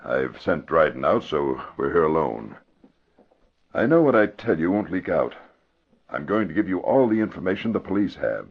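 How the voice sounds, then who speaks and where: A muffled old radio recording of a grave man of authority. The voice is very low and gravelly, with animated swings as he deliberately confides. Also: full character voice. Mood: grave, troubled. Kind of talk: 1940s radio acting. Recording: muffled vintage radio broadcast.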